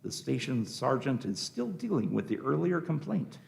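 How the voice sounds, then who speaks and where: A man narrates a radio play from a script. His voice is mid-pitched with a gravelly creak and lively intonation. He sets out the action scene by scene. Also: moderate pace.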